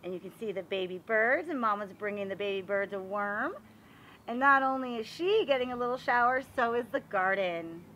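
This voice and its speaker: A woman reading a children's picture book aloud in an expressive, animated way, as if showing it to young listeners. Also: medium pitch, normal speed, a little hiss or hum, low-quality recording. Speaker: read-aloud reader for children.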